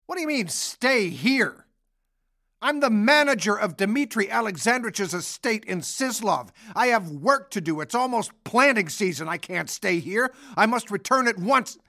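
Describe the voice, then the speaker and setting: A man playing a gruff, irritable estate manager with a blunt, grumbling manner in an audio drama. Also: sits high, animated, a little slow, sharp tone, no echo, studio quality, full character voice. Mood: indignant.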